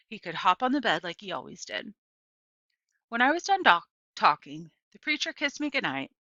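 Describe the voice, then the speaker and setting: A middle-aged woman reading a children's novel aloud as expressive storytelling, with deliberate, emphatic phrasing. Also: medium pitch, no room sound, highs cut off. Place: small indoor room with bookshelves.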